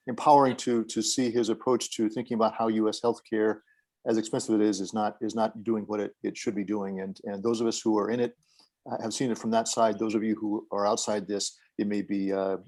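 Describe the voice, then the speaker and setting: A man's voice: fairly low pitch, vocal fry, darkish tone. A man moderating a video call panel, often repeating words as he introduces speakers.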